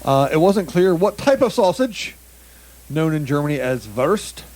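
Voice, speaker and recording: A somewhat high-voiced man reads bizarre headlines to his co-host in loose, joking banter. He stumbles over foreign words and breaks into laughter mid-sentence. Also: very animated, unhurried, darkish tone, rough recording. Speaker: comedy podcast co-host. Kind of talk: casual comedic news banter.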